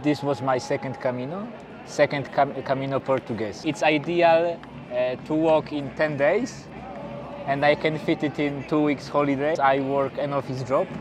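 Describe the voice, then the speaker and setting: A man's voice: medium pitch, fairly even intonation, normal speed, a crowd in the background. A man in his thirties from Poland, chatting cheerfully in a street interview and chuckling between phrases. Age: 30s. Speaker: interviewed pilgrim. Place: outdoor city square. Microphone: lapel mic.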